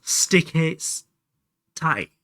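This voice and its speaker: A man's voice: very slow, bright, forceful tone. A man doing casual live game commentary and chatting to viewers, sweary and self-deprecating. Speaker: live streamer playing a video game.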